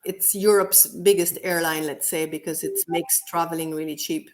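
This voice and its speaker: A woman's voice: fairly low pitch, steady tone, normal speed. An Irish woman co-hosting a casual podcast chat, thoughtful and hedging as she explains. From Ireland. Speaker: co-host, teacher.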